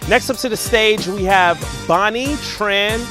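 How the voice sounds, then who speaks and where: A woman hosts a virtual event, introducing each performer in a lilting, sing-song manner. Hip hop music plays clearly behind her. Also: medium pitch, measured pace. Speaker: event host.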